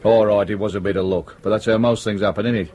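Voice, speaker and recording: A man's voice: lowish voice, steady tone, fast talker, a little mellow, natural voice. A man acting in a radio drama as a cocky, ambitious police detective with a dry, casual way of talking. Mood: pleased, eager.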